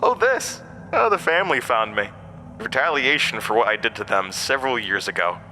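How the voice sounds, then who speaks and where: A man's voice: mid-range voice, animated, normal speed, pushed and bright, a little hiss or hum, rough recording. An actor playing a mysterious, mocking, weary undying male entity in a horror audio drama, speaking in theatrical, cryptic asides. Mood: menacing, amused. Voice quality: broken-windpipe voice, borrowed from another man.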